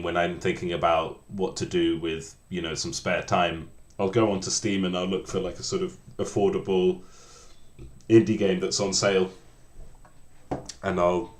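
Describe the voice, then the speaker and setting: A man's voice: low-pitched, level tone, moderate pace, echoey room. A man in his late thirties chatting casually while coding on a livestream, rambling and restarting his sentences with a self-deprecating tone. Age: 30s. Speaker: game developer streaming.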